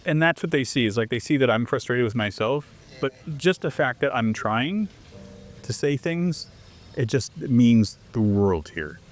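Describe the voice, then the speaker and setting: Man, mid-pitched, animated guest telling stories in a casual interview. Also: measured pace. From Canada. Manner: animated storyteller who acts out quoted exchanges. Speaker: interview guest, foreigner living abroad.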